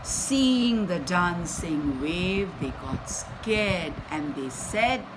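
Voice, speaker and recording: A woman reading a picture book aloud to young children, expressively, pausing to ask them simple questions and explain things. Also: fairly low pitch, expressive. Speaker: children's storyteller and channel host. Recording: clear background noise.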